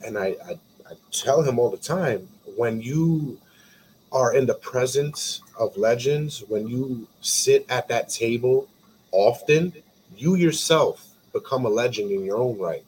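Male interviewer, mid pitch, encouraging and reflective, light background hiss. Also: lively intonation, measured pace, darkish tone, rough recording. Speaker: interview host. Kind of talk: casual interview.

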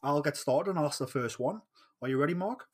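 A man's voice: on the high side, lively intonation, a little fast, a little hiss or hum. A man with a Geordie accent from north-east England, hosting a podcast in a casual, chatty interview style. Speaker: podcast host.

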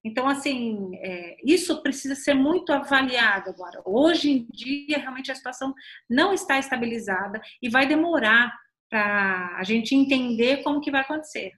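A woman's voice: sits high, animated, moderate pace, a bit bright. A middle-aged woman with a Brazilian accent speaking as a missionary guest in a casual interview, explaining things and often checking with short tag questions.

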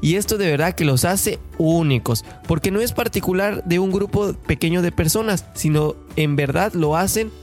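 A man's voice: high voice, normal speed, few pauses, a little mellow. A man co-hosts a casual podcast. He explains things in a friendly, chatty way. Faint music plays under his voice. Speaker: podcast co-host.

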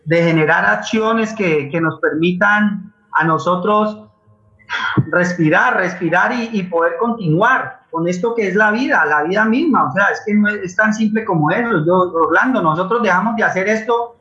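A Colombian man speaking as a guest in an impassioned conversational interview, halting and repeating words as he searches for them. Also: high-pitched, bright, forceful tone. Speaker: guest playwright and actor. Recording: dull lo-fi roomy online call.

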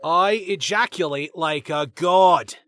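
A man playing a cocky, defensive political staffer in a comedy drama, excitable and indignant. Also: a little high, slow pace. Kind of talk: fast comedy audio drama dialogue.

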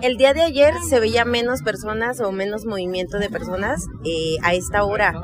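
A woman's voice: mid-range voice, moderate pace. A woman, a fan being interviewed, chatting casually and telling what happened to her. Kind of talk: casual chat, animated anecdote telling. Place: outdoors in a concert queue. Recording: outdoor recording with busy crowd noise.

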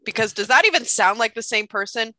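A young woman co-hosting a casual opera podcast, animated and self-mocking, talking into a laptop on a call. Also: brisk, no echo. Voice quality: deep for a woman. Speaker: podcast co-host. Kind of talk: casual chat about opera. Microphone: computer mic on video call.

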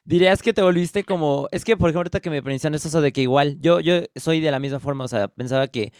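A man with a Mexican accent hosting a casual podcast interview. His voice is smooth and clear, recorded dry with no room sound, and he talks in a loose, chatty way. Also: a little high, fast, a little mellow, dry recording. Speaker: podcast host.

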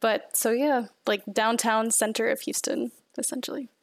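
A woman's voice: fairly high pitch, fairly even intonation, normal speed, vocal fry. Upbeat young woman podcast host. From Texas. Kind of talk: casual podcast chat.

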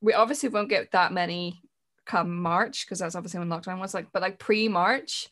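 A woman's voice: fairly low pitch, steady tone, moderate pace, fairly bright tone. A woman in her twenties co-hosting a podcast, chatting in an informal, reflective and agreeable way. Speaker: podcast co-host. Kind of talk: casual chat.

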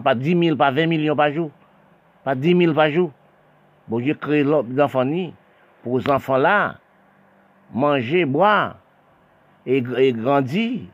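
Halting, impassioned man with restarts. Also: mid-range voice. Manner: halting, repetitive, many restarts and broken phrases. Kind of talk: impassioned spoken monologue.

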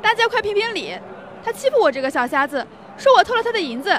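A woman's voice: very high voice, big pitch swings, fast, fairly bright tone, full character voice. A woman playing a feisty young blind girl in an audio drama, theatrically indignant and playing the victim. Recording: street crowd noise behind.

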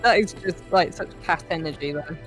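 A woman's voice: lowish voice, normal speed. A woman co-hosting a casual watch-along, joking and amused as she comments. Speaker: podcast co-host. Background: film soundtrack music.

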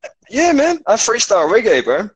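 A man's voice: high-pitched, huge pitch swings, quick pace, breathy edge, dry recording. A man from South Africa in casual chat, easygoing and slangy, with a South African accent. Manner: laid-back slangy talk, calls everyone bro. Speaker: chat participant. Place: Auckland, New Zealand.

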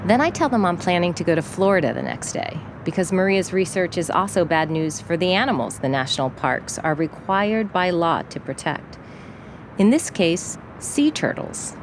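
A woman reporter with a lower voice narrates a radio feature and puts measured, probing questions to people. She is interviewing them outdoors, and faint traffic hum sits under her voice. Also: sing-song. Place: outdoors by a flooded park, traffic nearby.

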